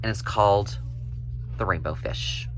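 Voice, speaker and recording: A man telling a children's story in an expressive storybook reading style. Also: lowish voice, unhurried, over music.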